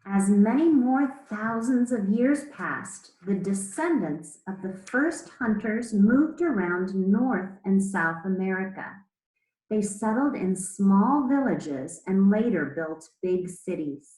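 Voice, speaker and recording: A woman speaking as an elementary school teacher, reading aloud to children in a measured, explanatory way with pauses between phrases. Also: mid-range voice, lively intonation, mellow, echoey room, low-quality recording. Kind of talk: read-aloud lesson to children.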